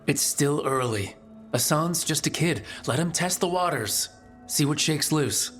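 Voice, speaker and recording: A man's voice: on the high side, lively intonation, measured pace, a bit bright, faint music behind. An actor playing a supportive, casual male hero in a fantasy video game, dry and reassuring. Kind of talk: video game dialogue acting.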